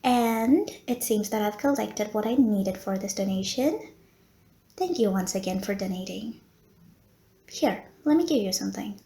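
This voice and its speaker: Unhurried, courteous lady vampire nurse, acted by a woman. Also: mid-range voice, lively intonation, warm tone. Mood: flirty, pleased. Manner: genteel, formal wording with coy hesitations. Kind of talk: roleplay audio acting, addressed to the listener.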